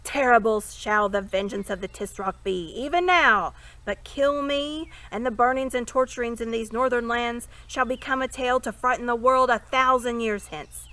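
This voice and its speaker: A woman's voice: fairly high pitch, moderate pace, few pauses, fairly bright tone, no echo, voice pushed up, natural voice. A woman voicing a furious, sulking captive young prince in a storybook, spluttering bombastic threats with theatrical defiance. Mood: menacing rage.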